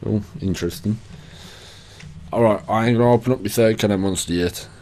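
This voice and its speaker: Tired, hoarse young gamer. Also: male voice, lowish voice, brisk, warm tone, a little hiss or hum. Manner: sweary, chatty, self-deprecating. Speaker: gaming channel host. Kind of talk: casual gameplay commentary.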